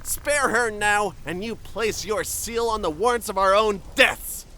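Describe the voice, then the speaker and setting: A haughty, hot-headed young nobleman of a fantasy game , acted by a man. His voice is high and cutting, and it leaps widely as he sneers. Every archaic line is declaimed with contempt over faint music. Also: big pitch swings, measured pace, sharp tone, slight background noise, exaggerated voice. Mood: vehement, commanding. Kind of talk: theatrical archaic fantasy dialogue in a fan dub.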